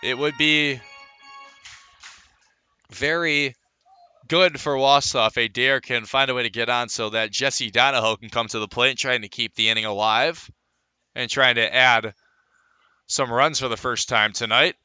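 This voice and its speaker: Bright, forceful male baseball play-by-play announcer. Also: medium pitch, fairly even intonation, measured pace, pauses often, clean tone, no echo, faint music behind. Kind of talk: live sports play-by-play commentary.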